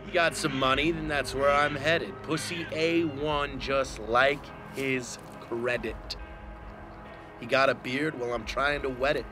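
A man in his thirties giving a deliberate, mock-dramatic reading of rap lyrics. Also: mid-range voice, steady tone. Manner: deliberate, theatrical delivery. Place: outdoors among trees. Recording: music under the voice. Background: music.

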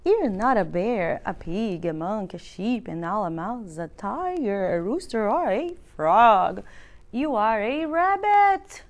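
A middle-aged woman reading a children's picture book aloud, expressive and deliberate, as if for small children. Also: mid-range voice. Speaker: children's librarian, storytime reader. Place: library room with bookshelves.

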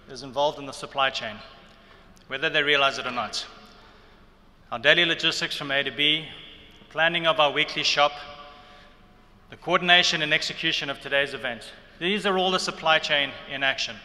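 A middle-aged man delivers a prepared conference speech in a formal, deliberate way. His voice is slightly high for a man and very bright and forceful. It carries from a podium microphone into a large, reverberant hall. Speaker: keynote speaker. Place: large hotel ballroom at a conference. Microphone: podium gooseneck microphone. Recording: reverberant hall sound through PA.

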